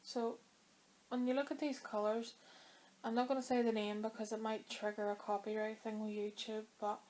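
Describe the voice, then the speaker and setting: Woman knitting vlogger with a slightly high, flat delivery. Dry throat from a cold. Chatty and meandering. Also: moderate pace. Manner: chatty and rambling, with many fillers. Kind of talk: casual chat to camera.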